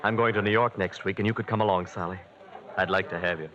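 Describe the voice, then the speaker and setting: Bright, lowish-voiced radio flirt. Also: male voice, fairly even intonation, fast. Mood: admiring, inviting. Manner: flirtatious, probing. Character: smooth, admiring would-be lover of a married woman. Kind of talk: old-time radio mystery acting. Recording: dull old radio recording.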